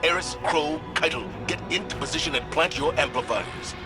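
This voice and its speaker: An actor playing a stern military commander who gives clipped orders in a video game. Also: male voice, a little high, moderate pace, music in the background, natural voice. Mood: tense, commanding. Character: stern male military commander directing a mission. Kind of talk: video game mission dialogue.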